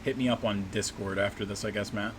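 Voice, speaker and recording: A man with a low, slightly scratchy voice rambles casually through a livestream, trailing off and restarting his sentences. A steady air-conditioner hum sits clearly under his voice. He sounds like he is at a small desk at home. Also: brisk. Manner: rambling, relaxed, many fillers and restarts. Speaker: livestream host and map maker. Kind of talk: casual livestream chat while working.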